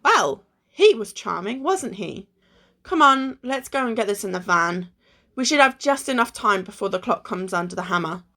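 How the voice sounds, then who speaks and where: An amateur actress playing a sensible, bossy, cautious friend in a radio play, with a dry, scolding manner. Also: female voice, medium pitch, expressive, normal speed, sharp tone.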